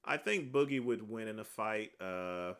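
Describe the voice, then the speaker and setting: Livestream host, man with a deep voice, animated, forceful delivery, rambling chat. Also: unhurried, clear, resonant tone. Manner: rambling, answers viewer questions one by one with many uh fillers.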